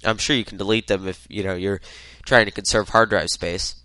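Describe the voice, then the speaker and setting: A man's voice: fairly low pitch, fairly even intonation, fairly quick, pushed and bright, clear, resonant tone, no room sound. A man with a head cold hosting a solo tech podcast, talking loosely with lots of uhs. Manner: rambling, many filler uhs. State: congested from a cold. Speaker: podcast host.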